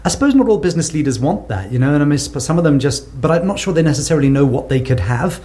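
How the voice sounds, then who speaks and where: A man's voice: a little high, animated, rapid-fire, warm tone. A South African man answering interview questions in a thoughtful way, often repeating words as he builds a point. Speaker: guest researcher. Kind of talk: conversational podcast interview.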